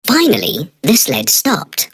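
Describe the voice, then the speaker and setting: A woman's voice: fairly low pitch, huge pitch swings, takes it slowly, husky. A woman narrating a children's storybook from a script.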